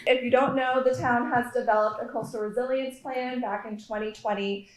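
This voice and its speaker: A woman who is town staff, speaking briskly and in a friendly way at a forum. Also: fairly high pitch, steady tone. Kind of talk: forum presentation. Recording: roomy echo.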